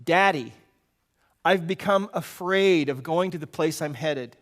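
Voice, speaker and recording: A man's voice: a little high, a little slow, fairly bright tone. A man reading the part of an anxious unborn soul in a story, earnestly pleading with a father.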